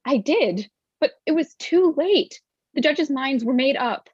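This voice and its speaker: A woman playing a concerned, sorrowful young gentlewoman in a staged gothic drama, earnest and husky. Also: high voice, lively intonation, a little slow, no echo, highs cut off. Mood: defensive, distressed. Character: Elizabeth, sorrowful, concerned young gentlewoman, firm yet doubting.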